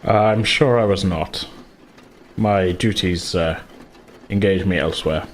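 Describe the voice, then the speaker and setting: A man's voice: low-pitched, slow pace. A man voicing an aloof, haughty butler in a tabletop game, curt, formal and dismissive. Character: aloof, haughty male butler to a noble lady. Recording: clear background noise, room ambience.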